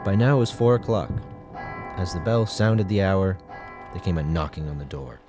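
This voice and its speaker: An actor playing an obsessive madman who confesses to murder in intense, theatrical gothic narration, swinging between hushed and loud delivery. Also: sits low, moderate pace, over music, natural voice. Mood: gloating. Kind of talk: dramatic first-person gothic narration, audio drama.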